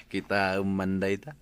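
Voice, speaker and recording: A man playing a soft-hearted village husband who gently pleads and persuades in an Ilocano comedy drama. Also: low-pitched, clean tone, no room sound.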